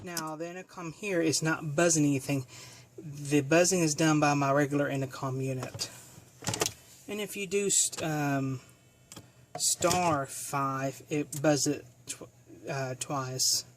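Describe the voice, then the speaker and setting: A speaker giving a casual hands-on equipment demonstration in a halting, self-correcting way. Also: mid-range voice, noisy background. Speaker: video presenter demonstrating equipment.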